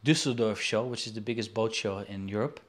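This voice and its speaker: A man in his forties from the Netherlands, talking in a casual interview from a shelf-lined room over a video call, pausing often with fillers and restarts. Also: fairly low pitch. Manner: halting, with frequent fillers and restarts. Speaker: guest artist and angler. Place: indoor studio room with shelves.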